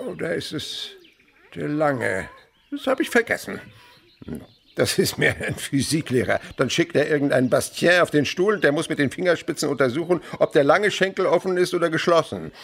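Casting: irascible but playful old scholar, swooping pitch, gravelly, emphatic and digressive, for radio drama. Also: male voice, fairly bright tone, exaggerated voice. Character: irascible, playful old naturalist father, lover of children. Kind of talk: German radio play acting.

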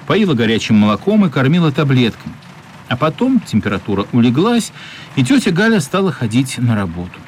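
A man narrating a children's story as scripted radio drama, with expressive, unhurried dramatic phrasing and a husky voice. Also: medium pitch, slight background noise.

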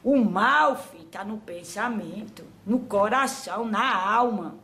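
A woman acting as a medium for an old grandmother spirit, giving slow, repetitive spiritual advice that trails off softly. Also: mid-range voice, animated. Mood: counseling. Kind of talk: spiritual counseling.

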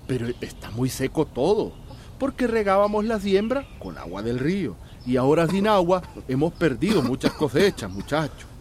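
Give this radio drama a plain-spoken Central American farmer. His voice is fairly high and very animated in pitch. He talks in folksy, impatient repetitions, over faint noise. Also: normal speed. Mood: weary, regretful. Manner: colloquial, folksy, repeats himself. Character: Eliseo, plain-spoken rural farmer, impatient with big talk.

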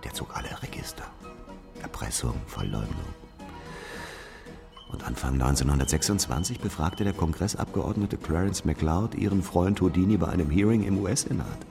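An actor playing a hard, relentless interrogator in a German radio drama, speaking with sardonic, accusing insistence. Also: male voice, low voice, expressive, normal speed, gravelly. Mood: accusing, insistent. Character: hard, relentless male interrogator confronting an aged knighted author. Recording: long reverb with music behind.

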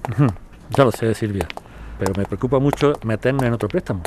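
A man's voice: mid-range voice, fast talker, noisy background, close to an everyday voice. A man playing a worried, conciliatory husband and son in a radio drama, speaking earnestly and explaining himself. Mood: concerned.

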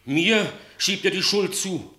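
Radio play role: pompous male tavern boss, high forceful voice, self-righteous bluster. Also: takes it slowly, full character voice. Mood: indignant. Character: pompous, indignant inn boss protesting his honesty. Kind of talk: theatrical German radio play acting.

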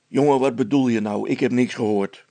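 A man playing a bold, gruff man in a story, scolding a boy in a blunt, dismissive, colloquial way. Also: medium pitch, fairly even intonation, fairly quick, dark tone, no echo, lightly acted. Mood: wary, probing.

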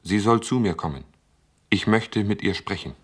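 A thinking machine with a low voice. It speaks in short, measured statements, stubborn and principled, with a childlike earnestness, as in a German science fiction radio play. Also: male voice, animated, slightly rough. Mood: earnest, searching. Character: sentient computer, childlike, stubborn, principled, longing for mother.

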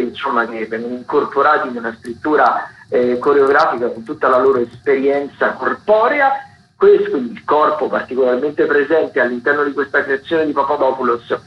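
A man hosts an informal radio arts rundown at a middling pitch. He speaks haltingly, correcting himself and dropping in chatty asides. The sound is roomy and slightly dull, like a lo-fi broadcast. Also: a little hiss or hum. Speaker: radio host presenting theatre listings.

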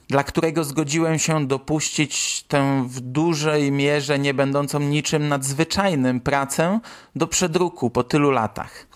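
A man hosting and reading scripted narration. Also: a little high. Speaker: host.

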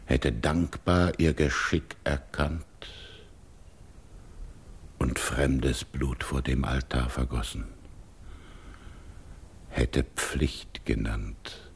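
A brooding, commanding king in a classical radio drama. His voice sits very low and he declaims verse at a slow pace, full of wounded anger. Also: vocal fry, slightly rough, full character voice. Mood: bitter brooding. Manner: declamatory, measured verse delivery.

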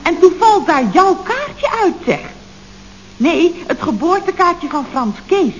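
A high-voiced, chatty office secretary from a radio comedy around 1970. Her delivery is theatrical and swooping, with unhurried timing and a dry sarcastic edge. The recording is muffled and noisy. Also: very animated, full character voice. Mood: gushing, chatty. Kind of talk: 1970s radio comedy acting.

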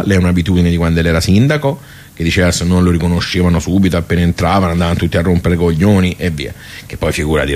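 An actor playing a chatty, nostalgic village social club regular with a Tuscan accent, telling stories in a rambling, folksy radio theatre monologue. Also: male voice, low-pitched, a little mellow, natural voice.

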